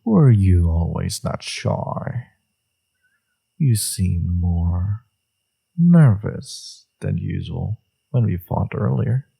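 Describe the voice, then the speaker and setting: A man playing a flirtatious, teasing villain in an intimate romance audio roleplay, murmuring coyly in a low, breathy voice. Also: animated, very slow, heavily acted. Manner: teasing, coy, murmuring with soft chuckles. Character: flirtatious, teasing male villain, secretly smitten enemy.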